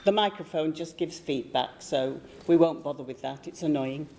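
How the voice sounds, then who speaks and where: A woman with a lowish, mellow voice hosts an author talk, projecting loudly so the whole room can hear her. Faint background noise sits behind her. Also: moderate pace, creaky. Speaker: event host interviewing an author. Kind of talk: introducing a library talk. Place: library room with an audience.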